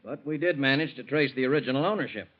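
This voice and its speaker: A brisk American police captain acted by a man reports in a plain, colloquial, businesslike way. It is vintage radio drama with a muffled, narrow sound. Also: mid-range voice, lively intonation, close to an everyday voice. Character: matter-of-fact, businesslike male police captain. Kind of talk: 1940s radio acting. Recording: muffled old radio broadcast.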